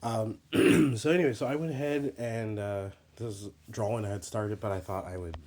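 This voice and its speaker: Deep-voiced man, a bit scratchy from illness. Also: moderate pace. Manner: relaxed and rambling, many fillers and restarts. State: slightly scratchy from being sick. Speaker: artist narrating his drawing process. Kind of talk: casual voice-over while drawing.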